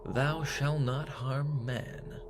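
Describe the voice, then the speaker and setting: A man's voice: medium pitch, steady tone, extremely slow, background noise. A man playing a bold space captain in an audio drama, with theatrical old-fashioned heroics. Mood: cocky. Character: bold, jaunty male space hero captain.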